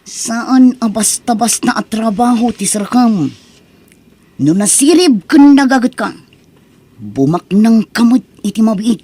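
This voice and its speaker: A woman's voice: on the high side, animated, unhurried, dark tone, breathy edge, no room sound. A woman acting a confident male friend who recruits and tests, in a persuasive radio-style drama manner.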